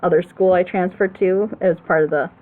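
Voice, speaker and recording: A woman's voice: on the low side, fairly even intonation, normal speed, slight background noise. Female reaction host, emphatic and rambling, casual delivery, phone-like narrow sound. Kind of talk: casual chat, opinionated commentary.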